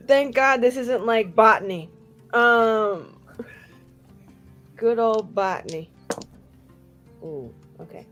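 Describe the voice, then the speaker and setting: A woman's voice: on the high side, slow, pauses often, a bit bright, faint music behind. A woman playing a tabletop roleplaying game, chatting casually in a playful, informal way.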